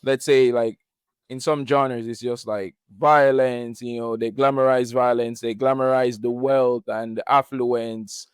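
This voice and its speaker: A man hosting a podcast interview, with a smooth, resonant voice and a dry sound. He calmly asks his guest open questions. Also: mid-range voice, unhurried, no echo. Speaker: podcast host.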